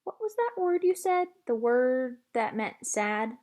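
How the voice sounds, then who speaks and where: A curious, earnest little girl from a storybook, played by a woman. She is frank and questioning, and her pitch moves around in a lively way. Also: slow, full character voice. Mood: calling out, eager. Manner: direct, questioning.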